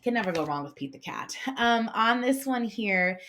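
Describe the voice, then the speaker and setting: A woman's voice: on the high side, lively intonation, normal speed, fairly bright tone, a little hiss or hum. A woman hosting an informal instructional vlog, explaining things conversationally like a classroom teacher. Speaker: classroom teacher and video host. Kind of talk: casual instructional vlog talk about picture books.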